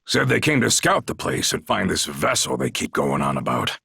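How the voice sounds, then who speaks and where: An actor playing a brash, blunt Norman warrior chief in a video game, gravelly and husky, with a gruff, folksy, commanding way of talking. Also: sits low, sing-song, normal speed, bright, forceful tone, dry recording, studio quality, heavily acted. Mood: gruff, frank. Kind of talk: video game cutscene acting.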